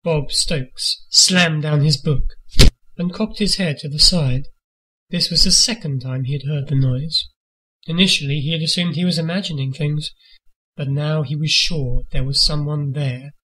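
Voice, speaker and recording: Bright, sharp-voiced male storyteller. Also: fairly high pitch, fairly even intonation, unhurried, slight background noise, low-quality recording. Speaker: audiobook narrator. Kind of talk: scripted spooky story narration.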